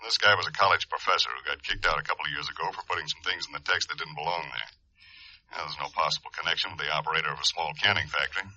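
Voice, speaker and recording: An actor playing a skeptical, insistent male records researcher, exasperated and brisk, in 1950s-style radio drama. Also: very deep voice, pushed and bright, full character voice. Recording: dull vintage radio recording.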